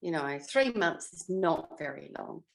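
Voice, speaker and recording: An older Australian woman with a slightly low voice, speaking as a guest looking back on an acting career. She is self-effacing and chatty, wandering through her thoughts and leaving some unfinished. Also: expressive, a little slow, gravelly. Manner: self-effacing, rambling, trailing off mid-thought. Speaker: interview guest, former television actress. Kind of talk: casual reminiscing interview.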